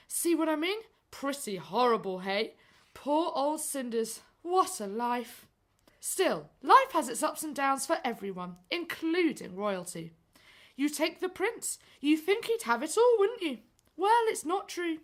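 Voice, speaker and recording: A woman's voice: high voice, sing-song, unhurried, fairly bright tone, heavily acted. A woman playing a chatty, sympathetic servant, conversational and matey, confiding in the audience in a radio play.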